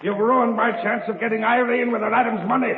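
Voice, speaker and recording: An actor playing a ruthless, gloating gangster villain in a menacing, taunting 1930s radio style. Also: male voice, extremely high pitch, fairly even intonation, a little fast, vocal fry, phone-quality sound. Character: ruthless gloating double-crossing swindler and killer villain. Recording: scratchy band-limited 1930s radio, echoey, noisy.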